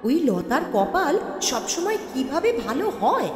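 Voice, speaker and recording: Woman playing a catty, high-voiced envious village sister. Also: very animated, a little slow, music in the background. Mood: jealous, scornful. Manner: catty, scornful. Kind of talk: cartoon story voice acting. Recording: very echoey reverb.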